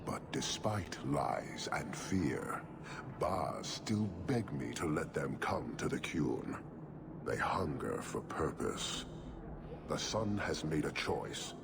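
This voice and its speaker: A deep gravelly stern warlord. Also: male voice, big pitch swings, background noise, heavily acted. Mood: menacing. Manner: slow, measured, menacing. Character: imposing male warlord leader, stern and cold.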